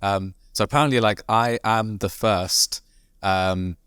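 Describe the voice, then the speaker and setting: A man from Devon, an imam, talking casually as a podcast guest in quick, rambling sentences full of fillers and restarts. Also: on the low side, fairly even intonation, fairly bright tone, clear, resonant tone, no room sound, clean studio sound. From Devon, England. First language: not Arabic. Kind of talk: casual conversational interview.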